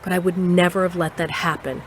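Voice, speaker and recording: A woman's voice: lowish voice, little pitch movement, a little fast, slight background noise, close to an everyday voice. A woman playing a protective, disapproving mother who narrates an audio drama in the first person.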